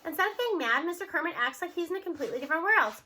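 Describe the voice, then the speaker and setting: A woman reading a novel aloud as narrator, with expressive storybook delivery. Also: extremely high pitch, very fast.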